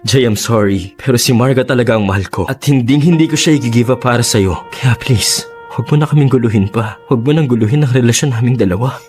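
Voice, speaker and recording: A man's voice: medium pitch, fast talker, darkish tone, faint music behind, lightly acted. An actor playing a conflicted young man, an earnest boyfriend pleading emotionally in a Tagalog radio drama. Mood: firm.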